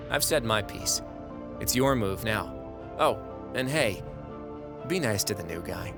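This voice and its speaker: Man playing a breezy, wry mad god over music. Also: on the low side. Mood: sly, teasing. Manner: chatty, sardonic, theatrical. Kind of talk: audio drama monologue.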